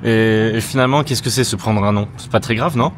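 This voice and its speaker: A man's voice: lowish voice, brisk, noisy background. A man in his thirties from France, talking casually and self-mockingly to the camera outdoors by city roads, with some swearing. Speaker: travel vlogger. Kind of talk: casual vlog talk to camera.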